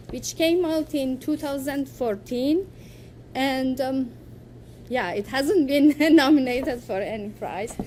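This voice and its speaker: High-voiced woman. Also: darkish tone, a little hiss or hum. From Iran. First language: Farsi. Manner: unhurried, with light laughs and fillers. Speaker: poet and event host. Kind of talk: casual talk presenting her books. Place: lecture hall.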